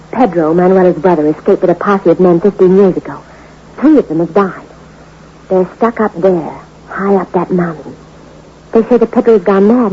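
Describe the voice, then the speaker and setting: A woman's voice: medium pitch, natural voice. A woman playing a scheming femme fatale. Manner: coaxing, persuasive. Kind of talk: 1950s radio drama acting. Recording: dull, hissy old radio recording.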